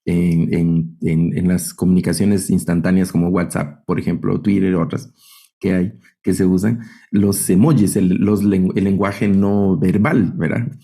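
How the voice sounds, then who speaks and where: A man's voice: deep voice, level tone, unhurried, vocal fry, dark tone. A man with a Guatemalan accent teaching an online Bible class as a professor, explaining didactically and often checking in with short tag questions.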